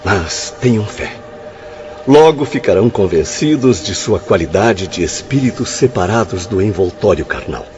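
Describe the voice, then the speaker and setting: A man's voice: fairly low pitch, animated, normal speed, darkish tone, slight background noise, lightly acted. An actor playing a grave, reassuring yet commanding male spirit guide in a radio drama. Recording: muffled audio with music underneath.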